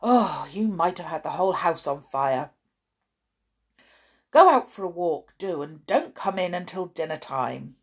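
A fussy, scolding storybook housewife, as a woman reader puts her on, snapping her words out in a husky, breathy voice. Her pitch rises and falls in lively jumps as she nags. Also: brisk, hardly any pauses.

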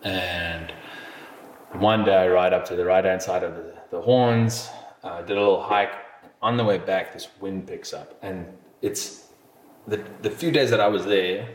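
A man's voice: sits low, measured pace, echoey room, slight background noise. A man telling a travel story in casual podcast chat, rambling with fillers and restarts and swearing casually.

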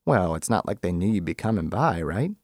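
A man's voice: low-pitched, big pitch swings, brisk, dry recording, clean studio sound. A man voicing a blunt, grumpy working-class man who needles his friend in colloquial talk, in a story reading. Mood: casual.

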